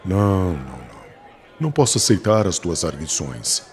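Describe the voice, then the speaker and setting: A man plays an ardent young religious convert, solemn and declamatory. His lowish voice moves with very animated intonation, earnest and unshakeable, as in a dramatised audiobook. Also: moderate pace, a faint crowd. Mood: earnest conviction.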